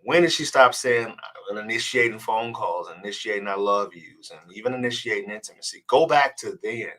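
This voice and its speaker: A man's voice: on the low side, fairly quick, fairly bright tone. A man with a congested voice from a cold, speaking casually and earnestly as a dating coach on a live stream and often repeating words as he restarts. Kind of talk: live-stream advice chat reading comments.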